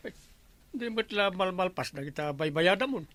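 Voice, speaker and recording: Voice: mid-range voice, lively intonation, normal speed, a bit bright, dry recording, close to an everyday voice. A performer playing a grumbling, evasive villager with an Ilocano accent in a radio comedy drama, asking complaining questions.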